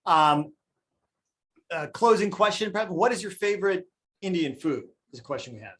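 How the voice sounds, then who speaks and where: A man with a fairly high voice moderates a panel Q&A. He asks his questions politely and hesitantly, with fillers. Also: animated, moderate pace, a bit bright. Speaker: student moderator.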